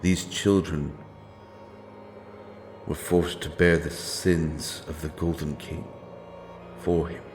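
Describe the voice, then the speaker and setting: A man playing a grim, righteous wandering avenger in a dark fantasy audio drama, speaking in a solemn, archaic and deliberate way. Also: rumbling low, little pitch movement, faint music behind. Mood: somber, troubled.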